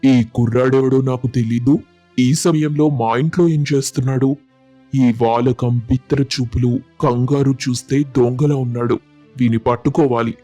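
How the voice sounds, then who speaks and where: A male actor voices a village father in a moral tale, doting yet quick to suspect and scold. His theatrical, emphatic voice sits at a medium pitch, recorded dry over soft music. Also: fairly even intonation, no echo, natural voice. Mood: suspicious. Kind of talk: acted moral storybook dialogue.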